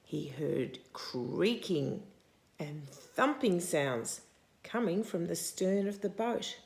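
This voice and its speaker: Older woman, children's storytime reader, lively swooping pitch, unhurried pace, inviting questions. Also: creaky. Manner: deliberate, animated, asking questions of young listeners. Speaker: storytime host reading a picture book to children. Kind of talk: expressive children's read-aloud with asides to the listener.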